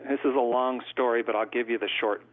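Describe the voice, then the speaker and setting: A man's voice: mid-range voice, fairly even intonation, fast talker, a little hiss or hum. A middle-aged man from California, a court reporter and interview guest, telling long stories in a thoughtful, conversational way. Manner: thoughtful, long storytelling answers. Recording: tinny phone-like line.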